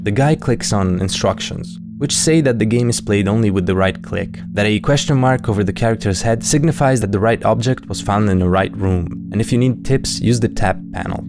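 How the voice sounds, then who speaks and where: Man, low voice, scripted commentary, casual wry host, music playing behind. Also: a little fast, few pauses. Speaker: host of a horror series breakdown video.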